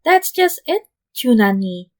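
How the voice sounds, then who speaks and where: Woman doing panicky whiny teen boy for an audio story, high voice, frantic. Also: very animated, measured pace. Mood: panicked wailing. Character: distraught, panicky, sniffling teenage boy.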